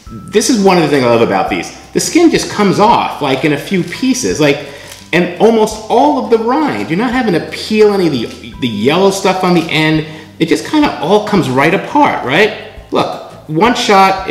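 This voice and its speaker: A man's voice: fairly high pitch, echoey room. A middle-aged man hosting a casual kitchen vlog, chatty and often tagging his lines with "right?" while he shows food to the camera. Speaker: vlog host. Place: home kitchen, indoors.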